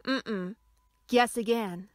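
Young female cartoon spaniel played by a woman: polite, innocent, fairly high, measured pace, close dry recording. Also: fairly even intonation, dry recording. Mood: eager, proud. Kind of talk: cartoon fan dub acting.

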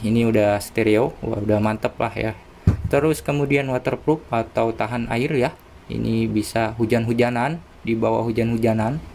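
Gravelly man, casual product unboxing. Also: medium pitch, darkish tone, slight background noise. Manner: informal, hesitant, thinking aloud. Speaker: unboxing video presenter. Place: indoors, small room at a desk.

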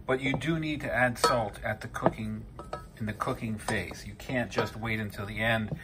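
A man's voice: lowish voice, fairly even intonation, normal speed. A man in his fifties from Peru, hosting a home cooking video, explaining each step in a conversational way with frequent fillers and self-corrections. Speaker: home cooking channel host. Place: home kitchen. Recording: noisy home kitchen recording.

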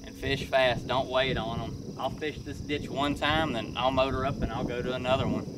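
A man's voice: mid-range voice, normal speed. A man, a fishing vlogger giving casual, plain-spoken how-to talk outdoors in a marsh. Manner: relaxed, plain-spoken instructional. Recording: distant mic, outdoor noise.

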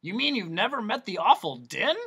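Eccentric male quack doctor, theatrical and lecturing, high and loud, voiced by a man reading. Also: sing-song, moderate pace, vocal fry, slightly rough, exaggerated voice. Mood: quizzing. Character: fussy eccentric male noise doctor, showman.

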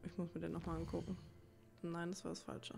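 A woman's voice: lowish voice, monotone, fairly quick, gravelly, a little mellow. A woman giving casual let's play commentary, thinking aloud and muttering to herself in a puzzled way as she works things out. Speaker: let's player, channel host. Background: game music.

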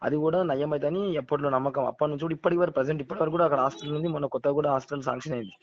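A man's voice: on the high side, fairly even intonation, very fast. A man with a Telangana Telugu accent talking casually and earnestly as a caller, often repeating words and phrases. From Telangana, India. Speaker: caller, local voter. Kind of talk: casual phone chat. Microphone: phone call. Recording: dull, band-limited phone call audio.

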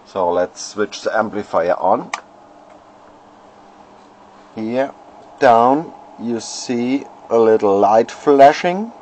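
A man, an amateur radio operator showing his homebrew gear at home, pausing often and searching for words. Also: mid-range voice, animated, darkish tone, slight background noise. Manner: halting, many fillers, searching for words. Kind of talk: casual equipment demo. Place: home radio shack, indoors. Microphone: handheld camera.

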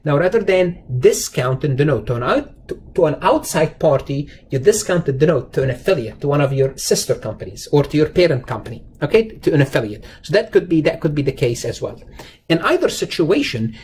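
A man with a slightly high voice teaches in a conversational lecture style. He repeats key phrases for emphasis and puts rhetorical questions to the learner. There is some faint noise in the recording. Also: lively intonation, fairly quick, darkish tone. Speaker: accounting lecturer. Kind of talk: explanatory lecture over slides, informal and conversational. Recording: noticeable background noise.

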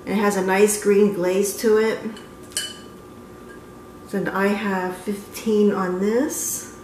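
A woman's voice: mid-range voice, little pitch movement, slow, a little mellow, low-quality recording. Woman in her 50s rambling about her thrift finds, lots of ums, little bit of hiss behind her. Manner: chatty, with frequent ums and self-corrections. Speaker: antique booth vendor. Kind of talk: casual show-and-tell vlog. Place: living room, then car and antique mall.

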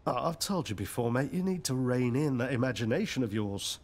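An actor playing a sensible, blokey British friend in a naturalistic radio soap. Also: male voice, medium pitch, lively intonation, brisk, natural voice. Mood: matey, exasperated. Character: sensible, blokey friend tinkering with sound gear.

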